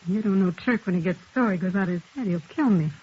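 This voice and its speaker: A woman playing a crook's curt, uneasy sister in a radio crime drama, with a husky voice. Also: on the low side, quick pace, a little mellow. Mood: anxious. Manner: guarded, curt. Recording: thin, telephone-like old radio recording.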